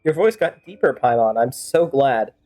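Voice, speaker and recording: A woman's voice: extremely low pitch, expressive, moderate pace, over music. A woman streaming a game with casual, rambling, playful commentary and sudden exclamations. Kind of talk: casual game commentary with in-character fan-dub banter.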